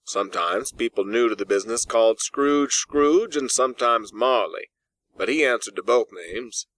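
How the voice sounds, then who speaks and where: A man reading a classic story as an audiobook narrator, in a measured, expressive storytelling manner. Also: medium pitch, no room sound. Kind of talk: scripted literary narration. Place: dry in-house recording space.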